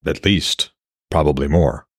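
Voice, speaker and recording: Man playing a brooding warrior god, low and husky. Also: a little slow, no room sound, studio quality, heavily acted. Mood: subdued. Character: brooding, long-lived blind warrior god, wounded, unsure, lovestruck. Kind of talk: fantasy audiobook character voice.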